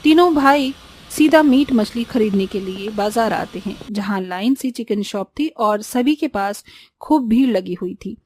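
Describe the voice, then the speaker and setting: A woman's voice: mid-range voice, lively intonation, measured pace, a little mellow, slight background noise. A woman reading scripted narration for a cartoon story.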